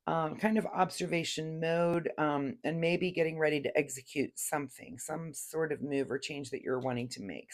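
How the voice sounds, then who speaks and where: A woman's voice: on the low side, vocal fry. A woman giving a casual, chatty tarot reading to camera while recovering from laryngitis. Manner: chatty, gentle, many fillers and restarts. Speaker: tarot reader.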